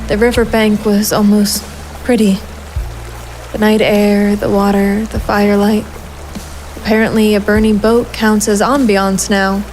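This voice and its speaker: A woman's voice: mid-range voice, lightly acted, poor audio. A woman playing a hardboiled, sardonic private investigator in a noir audio drama, with a wry, self-mocking manner. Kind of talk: first-person noir audio drama narration and dialogue.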